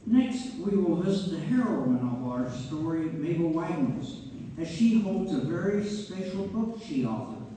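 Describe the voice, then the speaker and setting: A man's voice: fairly high pitch, expressive, measured pace, mellow. A man playing a genial narrator who guides the audience through a scripted stage play. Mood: genial, confiding. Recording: distant, very echoey hall, faint noise.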